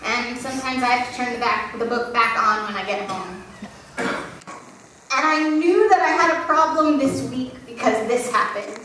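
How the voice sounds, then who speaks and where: A woman's voice: a little high, a little slow, a bit bright, a little hiss or hum. A woman pastor giving a sermon in a church, talking in a candid, self-deprecating storytelling way. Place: church sanctuary. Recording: very echoey church from a distance.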